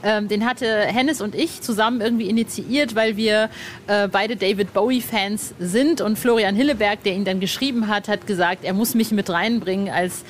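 A woman with a medium-pitched voice hosts a relaxed podcast interview. She talks informally, with a fan's enthusiasm. Slight noise sits behind her voice. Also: normal speed. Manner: informal, enthusiastic fan-like talk. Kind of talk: casual interview chat.

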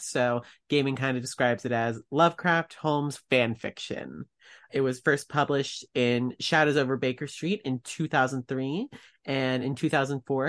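Man podcast host presenting facts. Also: mid-range voice. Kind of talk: explanatory podcast segment, reading out facts.

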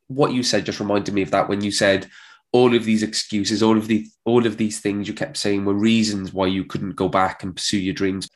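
A woman hosting a podcast, praising her guest and framing long, thoughtful questions. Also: rumbling low. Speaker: podcast host. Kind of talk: interview questions.

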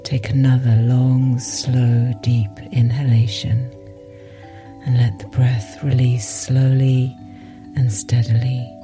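A woman with a soft, breathy voice guides a meditation in a soothing way, pausing at length between phrases. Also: rumbling low, flat delivery, music in the background. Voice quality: soft, breathy, sometimes near a whisper. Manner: slow, soothing, with long pauses. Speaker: meditation podcast host and guide.

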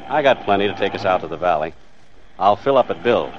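A middle-aged professional family man in a vintage radio suspense play. His voice sits low and he talks in plain, colloquial, matter-of-fact phrases. The old recording is dull. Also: expressive, quick pace, slight background noise. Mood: easygoing. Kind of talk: 1950s radio suspense drama acting.

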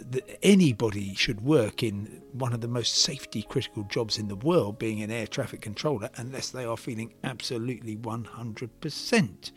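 Measured man explaining the news. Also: medium pitch. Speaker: podcast host and travel commentator. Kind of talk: semi-scripted news commentary monologue.

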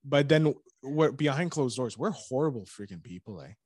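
A man's voice: medium pitch, lively intonation, normal speed, a little mellow, husky. A Canadian man chatting as a podcast guest, rambling and enthusiastic, joking as he talks. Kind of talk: casual podcast chat.

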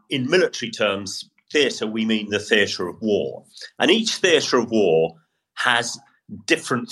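A man's voice: on the low side, pushed and bright. A middle-aged or older man with a British accent, a former army officer explaining things as an expert commentator. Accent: British English. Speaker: military commentator, former tank commander.

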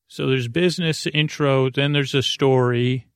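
Creaky, rambling male podcast host. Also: medium pitch, steady tone, a little slow, no room sound, studio quality. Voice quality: soft creaky tones. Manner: rambling, easily sidetracked, self-mocking. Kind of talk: rambling bedtime podcast talk.

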